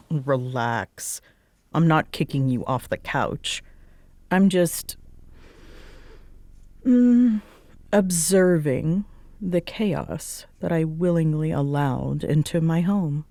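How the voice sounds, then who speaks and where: A woman's voice: low-pitched, slow, long pauses, warm tone, dry recording. A woman playing a tired, sarcastic bartender roommate who teases but is secretly fond, in an intimate roleplay audio. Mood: drowsy teasing. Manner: sarcastic, teasing, hesitant when sincere. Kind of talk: roleplay audio acting, intimate one-sided conversation.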